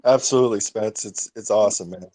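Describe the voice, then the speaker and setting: A man's voice: lowish voice, fast, dark tone, no echo. A man speaking casually on a group call, earnestly explaining himself. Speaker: call participant. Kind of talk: casual chat in a live group audio call.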